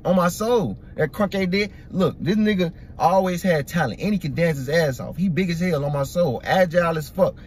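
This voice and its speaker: A young man hosting a music reaction video from a parked car, talking excitedly in profane slang into a phone held at arm's length. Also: on the high side, normal speed, few pauses, slight background noise. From Iraq. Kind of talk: casual reaction commentary. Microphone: phone camera at arm's length.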